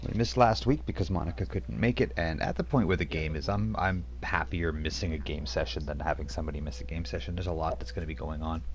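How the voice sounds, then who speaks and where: A man's voice: rumbling low, fast, hardly any pauses. A man hosting a live-streamed tabletop game night, chatting casually and laughing easily. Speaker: game master and stream host.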